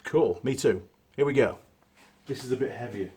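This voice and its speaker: A man, hoarse from a cold, hosting his workshop channel and chatting casually through a gearbox teardown with plenty of fillers. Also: medium pitch, normal speed. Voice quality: husky. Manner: chatty, relaxed, rambling with fillers.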